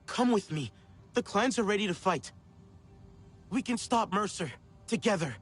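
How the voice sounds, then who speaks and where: A man's voice: high voice, animated, normal speed, slightly rough, soft background music, exaggerated voice. A man playing an earnest young alien resistance fighter in a video game cutscene. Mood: urging, resolute.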